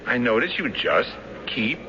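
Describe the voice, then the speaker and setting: A man acting in a 1970s radio drama as an earnest, plain-spoken, eccentric loner with a halting, simple way of talking. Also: medium pitch, big pitch swings. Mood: curious, puzzled. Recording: dull, noisy 1970s radio broadcast.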